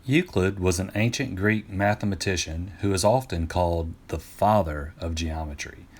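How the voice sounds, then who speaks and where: A man reading an educational storybook aloud to students in an expressive, emphatic teacher's manner. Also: sits low. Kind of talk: scripted storybook narration for students.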